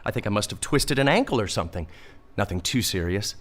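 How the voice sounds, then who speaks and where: An actor playing a naive, earnest, mild-mannered saint with a hesitant way of talking, in a comic radio play. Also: male voice, fairly low pitch, big pitch swings, quick pace. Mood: modest, chatty.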